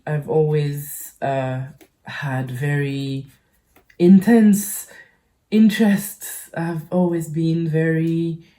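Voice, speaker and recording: A woman from the Caribbean speaking casually and hesitantly to her channel's audience, halting and rambling as she goes. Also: low voice, mellow. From French Caribbean island. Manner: hesitant, halting, rambling with frequent pauses and repeats. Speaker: YouTube channel host. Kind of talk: casual personal vlog talk.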